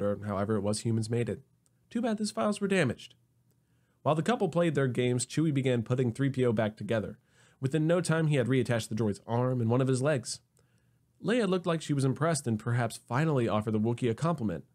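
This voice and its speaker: A male narrator reads a comic sci-fi tale. His mid-range voice rises and falls freely, giving the scripted lines a wry, expressive turn. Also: medium pitch. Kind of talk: scripted comedic story narration.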